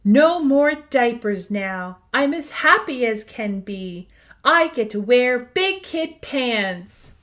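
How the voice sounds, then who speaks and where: A woman voicing an eager, proud toddler from a storybook, with simple, expressive, sing-song delivery. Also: on the high side, slow pace. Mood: excited, proud. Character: eager, proud toddler learning to use the potty.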